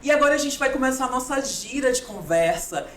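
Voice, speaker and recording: A woman's voice: sits high, expressive, brisk, fairly bright tone. A woman presenting a podcast and chatting casually with a guest. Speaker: podcast host. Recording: echoey room with background noise.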